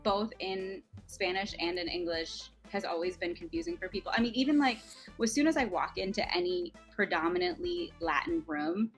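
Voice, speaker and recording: A woman's voice: lowish voice, moderate pace, soft background music. A woman from Minnesota with a light Minnesotan accent, chatting as an interview guest, chatty and self-deprecating, with frequent laughs. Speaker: guest, makeup artist. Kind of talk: casual interview chat.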